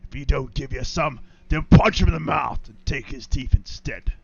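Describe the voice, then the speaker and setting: A man growling as a gravelly boastful orc warlord. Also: mid-range voice, moderate pace, highs cut off, lightly acted. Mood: scheming. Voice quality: gravelly, rough-throated. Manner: rowdy, bellowing, crude slang. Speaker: streamer. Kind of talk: role-playing a game live stream.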